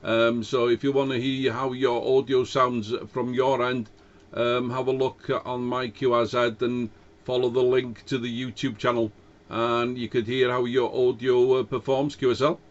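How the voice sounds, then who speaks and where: A man's voice: medium pitch, flat delivery, moderate pace. A man chatting as an amateur radio operator in a hesitant, courteous way, speaking into a cheap dynamic microphone over a radio link. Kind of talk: casual ham radio contact. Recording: dull lo-fi radio link audio.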